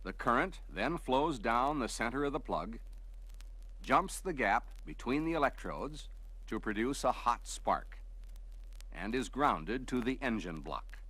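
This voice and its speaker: A man narrates an instructional training film. His pitch sits in the middle range for a man and moves in a lively, animated way. The delivery is scripted, measured and clearly explanatory. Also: rough recording.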